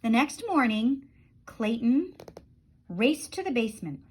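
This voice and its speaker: A woman reading a children's storybook aloud in an expressive storytelling style, letting phrase endings drop softly. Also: fairly high pitch, measured pace, breathy edge.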